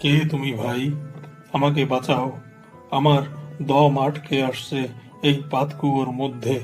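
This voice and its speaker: A man's voice: mid-range voice, little pitch movement, measured pace, over music, natural voice. A man voicing a humble, devout cartoon king who has been cursed into a lizard's body. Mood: desperate. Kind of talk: cartoon voice acting.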